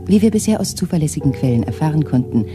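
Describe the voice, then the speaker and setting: A formal radio newsreader. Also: male voice, on the high side, quick pace. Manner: formal, even announcing. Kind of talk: 1970s radio drama acting. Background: music.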